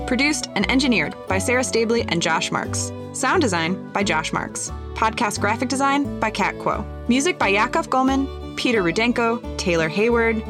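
Woman reading credits over music. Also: mid-range voice. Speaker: credits announcer. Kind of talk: scripted credits read.

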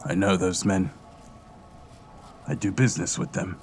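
A man playing a fearful, penitent old miser who pleads gravely and haltingly in an audio drama. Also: low voice, flat delivery, heavy background noise. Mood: troubled.

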